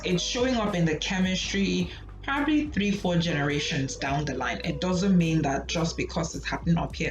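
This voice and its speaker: A woman, a student therapist hosting her channel, talking casually and rhetorically to camera and checking in with "okay?". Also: low voice. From Nigeria. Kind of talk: casual educational talk to camera. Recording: roomy, slightly dull sound with music behind.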